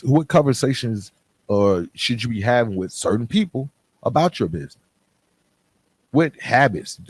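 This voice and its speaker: A man's voice: mid-range voice, a little mellow, husky, no echo. A man hosting a motivational podcast, talking in an earnest, direct and conversational way with emphatic repetition. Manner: conversational, colloquial. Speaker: podcast host.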